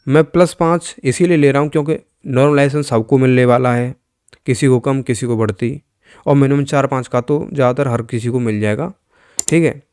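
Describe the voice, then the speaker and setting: A man's voice: medium pitch, fairly even intonation, brisk, a little mellow, smooth, no room sound. Man explaining figures, in a dry close sound. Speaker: exam news presenter. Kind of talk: informal explainer commentary over on-screen figures.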